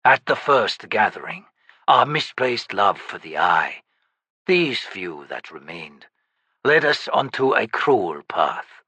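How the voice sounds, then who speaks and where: A man voicing an ancient, sorrowful undead male shaman in an audiobook, speaking slowly and gravely. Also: lowish voice, big pitch swings, pushed and bright, no room sound, heavily acted. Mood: solemn sorrowful. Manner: slow, solemn, measured.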